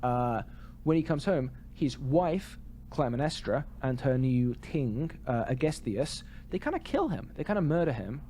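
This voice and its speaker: A man's voice: mid-range voice, sing-song, a little slow, warm tone, a little hiss or hum. A man co-hosting a podcast in casual chat, with a jokey, wry way of talking. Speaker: podcast co-host.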